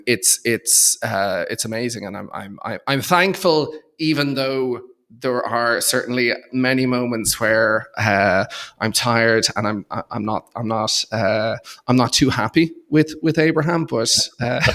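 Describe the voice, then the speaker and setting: A man's voice: medium pitch, a bit bright. A man with an Irish accent speaking conversationally as an interview guest, in a hesitant way with repeated words. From Dublin, Ireland. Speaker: podcast guest, accountant and entrepreneur.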